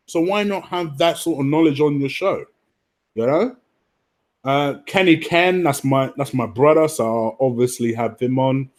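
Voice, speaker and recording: A man's voice: fairly high pitch, darkish tone. A man hosting a football fan livestream, chatting informally and matter-of-factly to his viewers in a rambling, sweary way. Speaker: host of a football fan show.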